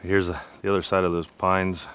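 Man, deep voice, middle-aged, easygoing rambling, outdoor forest, handheld phone. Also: level tone, a little fast, fairly bright tone, smooth. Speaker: hiking vlogger. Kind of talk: casual narration on a hike.

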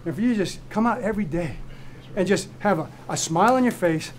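A man's voice: high-pitched, animated, quick pace. A middle-aged man, a head coach, giving an emphatic, rousing, repetitive speech to his players in a crowded locker room. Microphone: far camera mic. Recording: crowd noise, far camera mic. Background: crowd of players and noise.

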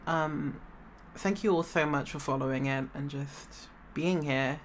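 A woman's voice: low voice, a little slow. A woman audio creator talking casually to listeners in a subdued, hesitant, sincere way, drained after crying. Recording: roomy, distant mic, faint noise.

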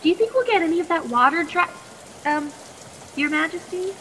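A woman's voice: very high voice, animated, brisk, sharp tone, heavily acted. A woman playing a plucky, nervous, chatty teenage captive in a radio play, stammering politely in a reverberant recording. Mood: anxious, chatty. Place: video call performance. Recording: long echoing reverb, faint noise.

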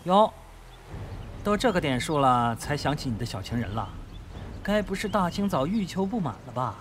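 一名男演员在广播剧中饰演粗鲁嚣张、满口脏话、爱讥讽人的黑帮绑匪头子。. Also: male voice, fairly high pitch, very animated, normal speed. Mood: mocking. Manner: sneering, foul-mouthed, taunting. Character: crude, swaggering male gang boss, kidnapper with a grudge. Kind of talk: Chinese radio drama acting. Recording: music behind the voice.

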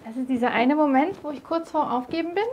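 A young woman with a very high voice chats casually to viewers like a vlog host. She is playful and exclamatory, recorded in a room with faint noise behind her. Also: quick pace, darkish tone. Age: young adult. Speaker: YouTube host. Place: small furnished room indoors.

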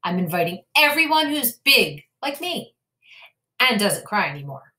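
An earnest little rabbit boy from a storybook, played by a woman. He is curious and childlike, asking his questions at an unhurried pace with a very lively pitch. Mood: eager, curious.

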